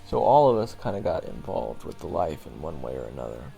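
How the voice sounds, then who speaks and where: A man playing a jaded, sardonic monster hunter in his early twenties, telling his story in a casual, wry, halting way for an audio roleplay. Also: a bass voice, big pitch swings, gravelly, dark tone, soft background music, exaggerated voice. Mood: reflective. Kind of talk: audio roleplay, conversational first-person storytelling.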